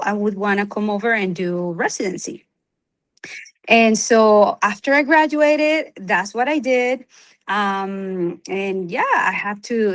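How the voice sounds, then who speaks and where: A middle-aged woman from Venezuela, a native Spanish speaker, answering interview questions as a physician, with many fillers and restarts. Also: medium pitch. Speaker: OB/Gyn physician, guest. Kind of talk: conversational interview.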